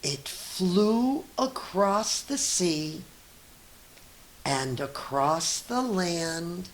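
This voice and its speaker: A woman teacher reads a story to children at a slow, deliberate pace. Her delivery is expressive and encouraging, moving between narration and simple teaching asides. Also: on the low side. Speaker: teacher reading aloud to children.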